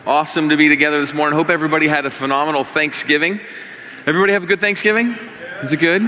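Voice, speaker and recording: A middle-aged American man with a slightly scratchy voice, preaching to a congregation in an informal, conversational but emphatic way, with deliberate pauses. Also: on the high side, fairly even intonation, bright, forceful tone. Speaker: pastor. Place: large room, speaking to a congregation. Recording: reverberant hall, slightly dull sound.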